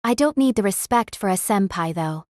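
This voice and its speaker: A woman plays a cool young senpai who speaks flatly and coldly, making demands without warmth. Her mid-range voice sits close and dry in an audio drama. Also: mid-range voice, normal speed, dry recording, natural voice. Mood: deadpan. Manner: flat, cold, demanding. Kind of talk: amateur audio drama acting.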